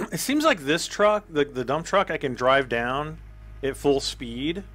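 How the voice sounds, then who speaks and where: A man with a hoarse voice giving casual, rambling commentary while playing a game, often clearing his throat. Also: fairly high pitch, expressive, slow, fairly bright tone. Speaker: gaming YouTuber.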